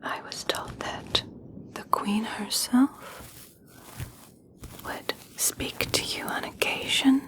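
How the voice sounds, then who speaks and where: A woman performs a young elf princess in an ASMR fantasy roleplay, caring and quietly curious. She speaks in a soft whisper at a very unhurried pace, with a lightly high, feminine tone. Her manner is soothing and tender. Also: fairly even intonation. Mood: gently curious.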